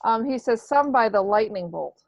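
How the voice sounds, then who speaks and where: A woman's voice: on the high side, lively intonation, normal speed, vocal fry, a little mellow. Hesitant woman with a muffled sound. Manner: hesitant, full of ums and restarts. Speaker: medium. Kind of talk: casual chat, relaying messages.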